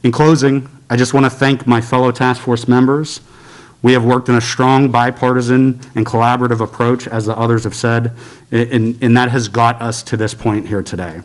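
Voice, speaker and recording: An American man speaks at a medium pitch in a formal, earnest way. He delivers prepared remarks as a legislator would at a press briefing. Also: steady tone, moderate pace. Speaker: state legislator.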